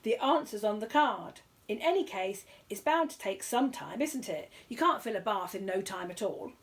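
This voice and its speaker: A woman's voice: mid-range voice, expressive, moderate pace, lightly acted. A woman voicing a pompous, grudging male television quiz host, stiff and condescending, in a storybook reading. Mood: smug, dismissive.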